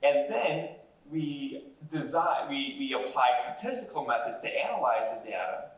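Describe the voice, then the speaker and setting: A man, a university professor, explaining things to young people in a measured, encouraging way. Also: mid-range voice, lively intonation. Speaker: university professor giving a public talk. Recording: echoey room, distant mic.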